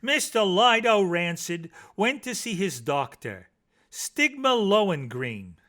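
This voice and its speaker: Guy reading kids stories really dramatically, slow pace, voice swooping all over. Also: sits high, very animated, pushed and bright. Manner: theatrical, emphatic delivery with savoured words. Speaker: storybook reader. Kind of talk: dramatized scripted children's story narration.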